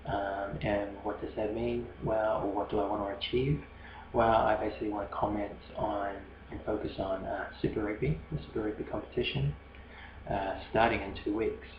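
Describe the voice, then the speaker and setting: A man's voice: fairly low pitch, steady tone, normal speed, reverberant, lo-fi sound, noisy background. A New Zealand man hosting a solo podcast, rambling and hesitant, with many fillers and restarts. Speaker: podcast host.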